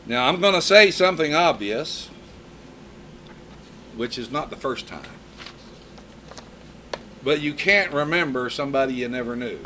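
A man in his fifties delivers a sermon at a middle pitch, moving between scripture and stories from his own life. He talks to his congregation in an easy, conversational way, with a faint hum of noise behind him. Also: animated, unhurried, long pauses, bright, forceful tone. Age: 50s. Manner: conversational preaching with personal stories. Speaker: preacher.

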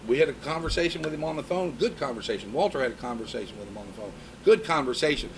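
A man's voice: medium pitch, animated, rapid-fire, noisy background. Man in his fifties preaching a sermon. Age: 50s. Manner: conversational preaching with personal stories.

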